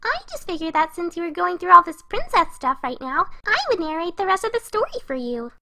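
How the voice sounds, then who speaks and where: A woman's voice: very high-pitched, very animated, brisk, bright, forceful tone, no room sound, studio quality, full character voice. A woman voicing a hyperactive, cheerful, scatterbrained young female cartoon pony who chatters breezily to the listener in a fan fiction reading. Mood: chirpy, breezy. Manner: bubbly, chatty, breezy narrating straight to the listener.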